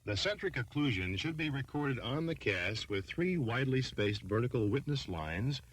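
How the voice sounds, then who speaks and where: Low-voiced man narrating a training film. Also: rough recording. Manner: formal, measured, impersonal instructional delivery.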